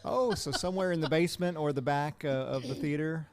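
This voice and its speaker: A man with a slightly high voice hosts a podcast interview. He talks conversationally and tends to restart and reword his questions as he goes. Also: brisk, background noise. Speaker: podcast host.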